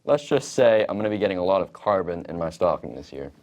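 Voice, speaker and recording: A teenage male high school student co-hosting a school show with scripted joke banter for an audience. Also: big pitch swings, fast, darkish tone. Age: teens, high school student.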